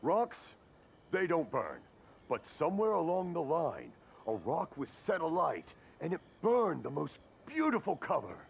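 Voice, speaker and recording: A man's voice: on the high side, huge pitch swings, measured pace, gravelly, muffled recording, a little hiss or hum, heavily acted. A man voicing a genial old father in a video game, a fireworks master who rambles through his stories. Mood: reminiscing. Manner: rambling, storytelling. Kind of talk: animated video game voice acting. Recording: music behind the voice.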